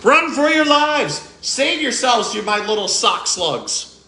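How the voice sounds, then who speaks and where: Grumpy jealous goblin, indignant, complaining, lively bright voice, echoey room, read by a man. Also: very high-pitched, slow, slight background noise, voice pushed up, heavily acted. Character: grumpy jealous male goblin, fussy and proud.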